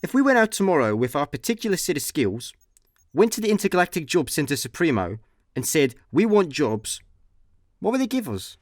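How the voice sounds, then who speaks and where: A man's voice: a little high, big pitch swings, fairly quick, no echo. A young Scottish man playing an idle, agreeable space slacker in a comic radio play, chatting casually. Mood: casual, musing. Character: laid-back, idle male space slacker, mildly eager. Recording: dry studio, faint music behind.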